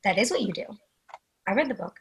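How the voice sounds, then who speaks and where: A woman's voice: medium pitch, lively intonation, fast, slight background noise, natural voice. A woman in a script reading, playing an elegant, cold and defiant woman in her mid-forties. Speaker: actor in a screenwriters' readers theatre.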